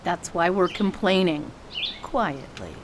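A woman's voice: lowish voice, lively intonation, slow pace. A woman acting as a tough, protective army medic in an audio drama, blunt and dry. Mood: irritated. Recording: noisy track with chirping birds.

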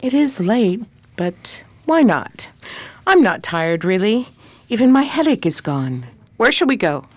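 A woman's voice: mid-range voice, sing-song, a little slow, dry recording. A woman playing a bold, curious, teasing heroine in a 1920s period radio crime drama. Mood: sociable, curious. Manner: sociable, probing, slangy.

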